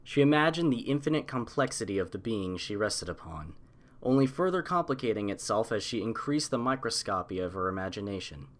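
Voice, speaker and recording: A man's voice: lowish voice, lively intonation, a little fast. Man reading a story expressively. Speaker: audiobook narrator. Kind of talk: scripted story narration.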